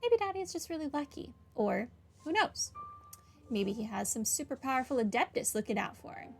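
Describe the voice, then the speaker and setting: A woman's voice: high-pitched, very animated, a little fast, vocal fry, a little mellow, faint music behind. A woman commenting casually on a video game and reading its text aloud. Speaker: gamer playing and commenting.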